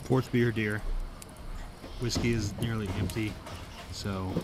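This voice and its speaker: Man acting slow, deadpan low-voiced husband. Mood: dry, teasing. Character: laconic, dryly teasing adult husband. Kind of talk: audio drama dialogue. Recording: faint ambient noise behind.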